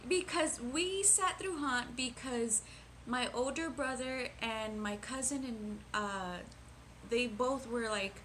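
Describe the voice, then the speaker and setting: A woman's voice: a little high, slow, a bit bright, slight background noise. A woman co-hosting a casual movie review podcast, chatting in a hesitant way with frequent restarts. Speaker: podcast co-host.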